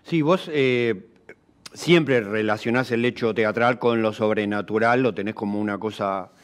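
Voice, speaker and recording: A male interviewer with an Argentine accent puts his questions conversationally. His delivery is markedly slow. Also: fairly low pitch.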